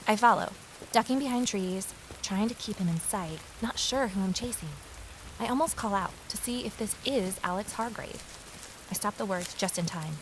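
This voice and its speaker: A woman's voice: medium pitch, moderate pace, close to an everyday voice, rough recording. Woman acting an inquisitive amateur sleuth, rain hiss behind. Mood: tense, intrigued. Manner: inquisitive, earnest, storytelling. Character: eager, nosy female ex-journalist amateur sleuth. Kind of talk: first-person audio drama dialogue and narration.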